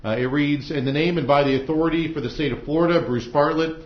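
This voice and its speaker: A man with an American accent speaking as a judge, formally addressing jurors with halting hesitations. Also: fairly high pitch. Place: indoor courtroom. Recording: echoey courtroom, dull muffled audio.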